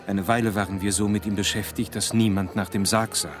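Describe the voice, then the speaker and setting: A male actor as a sombre doctor telling his tale in a horror radio play. The voice is very low and measured, with music underneath. He sounds courteous and serious. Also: fairly even intonation, natural voice. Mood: sombre, hushed. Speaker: narrator and character. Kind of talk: dramatic narration in a German radio play.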